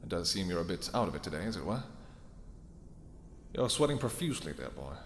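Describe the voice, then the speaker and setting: An actor playing a regal, possessive vampire king in a fantasy audio roleplay, with archaic, theatrical speech and halting pauses. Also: sits low, big pitch swings, gravelly, slight background noise, exaggerated voice. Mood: mock concern. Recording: echoey hall reverb with music.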